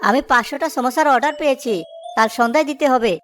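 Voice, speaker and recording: Woman voicing an earnest village samosa seller, high-pitched. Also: quick pace, natural voice. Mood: excited, hopeful. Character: honest hardworking village samosa seller, a married man. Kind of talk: animated moral-story cartoon dialogue. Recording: music behind the voice.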